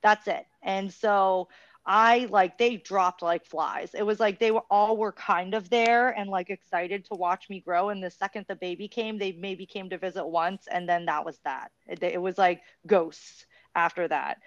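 A woman's voice: medium pitch, fairly even intonation, unhurried, no echo. A woman hosting a casual podcast interview, telling her own story in a chatty way with plenty of "like.". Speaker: podcast host interviewing guests. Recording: dull dry lo-fi sound.

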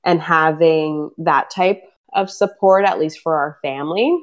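A woman's voice: deep voice, a little slow, dull audio. Chatty woman with a light Brazilian Portuguese accent. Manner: chatty, many "like" fillers, laughs easily. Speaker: interviewee. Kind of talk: casual interview chat.

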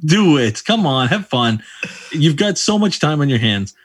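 A man's voice: fairly high pitch, sing-song, unhurried, husky, dry recording. Enthusiastic man in his thirties. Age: 30s. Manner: enthusiastic, playful. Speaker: podcast co-host. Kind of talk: casual chat.

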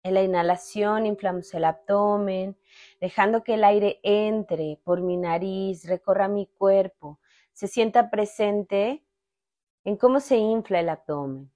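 Slow-paced woman guiding a meditation. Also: medium pitch. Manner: calm, slow, instructional with long pauses. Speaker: meditation guide, podcast host. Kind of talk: guided meditation narration.

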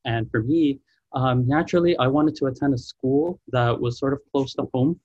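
A man's voice: mid-range voice, moderate pace, darkish tone, no echo, muffled recording. A young man born in Trinidad, a student guest talking earnestly on a video call from home, often restarting his sentences. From Trinidad and Tobago. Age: young adult. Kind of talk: online panel Q&A.

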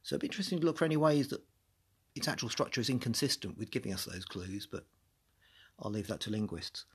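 A man's voice: lowish voice, lively intonation, fast, slightly rough. An English man hosting a podcast, talking through a book chapter in a chatty, musing way with many restarts. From England. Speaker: podcast host.

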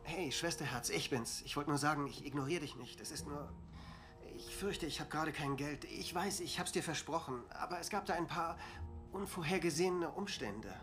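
A man's voice: on the high side, moderate pace, music in the background, natural voice. An actor playing a nervous, evasive young man who is short of money, speaking hesitantly in a dubbed TV drama. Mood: apologetic, anxious. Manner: hesitant, faltering.

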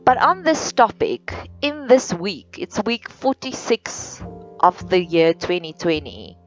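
A woman from South Africa with an Afrikaans accent, hosting a solo podcast in a slow, earnest, deliberate way with many pauses. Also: fairly low pitch, big pitch swings. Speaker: podcast host. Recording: dull lo-fi sound with faint music.

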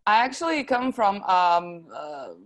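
Woman, Serbian accent, medium pitch, casual interview guest, chatty informal delivery. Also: very animated, a little slow. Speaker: interview guest, translation agency founder.

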